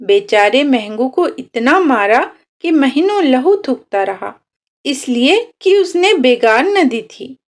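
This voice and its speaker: Storybook role: downtrodden, defiant village woman played by a female narrator, high-pitched, bitterly questioning, slow-paced. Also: sing-song, exaggerated voice. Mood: bitter indignation. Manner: indignant rhetorical questioning.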